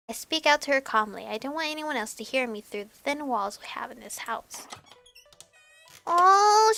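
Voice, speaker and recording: A woman reading a visual novel aloud as expressive narration, with animated reactions and some stumbling over words. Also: high voice, no room sound. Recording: background music behind voice. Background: game music.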